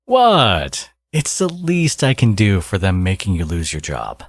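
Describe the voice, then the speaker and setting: A man playing a smug, teasing supervillain and doting father in an intimate ASMR audio roleplay, with sardonic, drawling threats and a husky voice. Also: low voice, huge pitch swings, unhurried, dry recording, clean studio sound, heavily acted.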